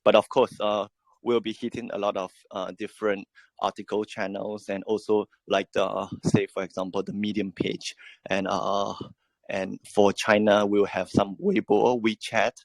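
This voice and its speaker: A man whose first language is Japanese, answering questions in an online AMA as a project team member, halting and full of fillers. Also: lowish voice, flat delivery, creaky, dry recording. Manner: halting, many fillers and restarts.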